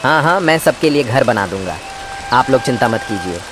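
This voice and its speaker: A man's voice: medium pitch, expressive, normal speed, noisy background, natural voice. A man voicing an earnest, polite young crow farmer in a children's cartoon story. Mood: reassuring.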